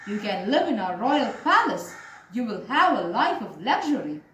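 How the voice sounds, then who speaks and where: A woman storyteller voicing celestial twin physician brothers, male gods who speak in a coaxing, flattering, boastful manner. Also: medium pitch, moderate pace. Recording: roomy, distant mic, faint noise.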